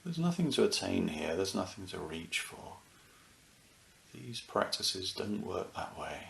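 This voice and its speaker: A man leading a guided meditation as a teacher, speaking soothingly in short phrases with unhurried pauses. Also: deep voice, very animated, creaky, echoey room, a little hiss or hum. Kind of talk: guided meditation, unscripted and gentle.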